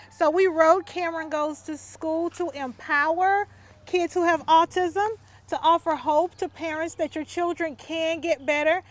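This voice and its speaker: A middle-aged woman, a mother talking casually with her family and to the camera. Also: extremely high pitch.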